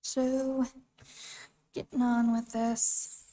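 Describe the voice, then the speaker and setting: A woman's voice: on the high side, level tone, very slow, mellow, distant mic, rough recording. A young-sounding woman hosting a gaming channel, rambling casually over the game. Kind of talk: let's play commentary.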